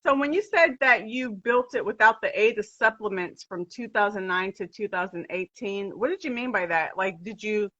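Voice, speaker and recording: Woman host, bright punchy voice, super chatty and hyped, dry kinda muffled mic. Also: medium pitch, expressive, moderate pace, hardly any pauses, dry recording. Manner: enthusiastic, chatty questioning with casual slang. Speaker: podcast host. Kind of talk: casual interview.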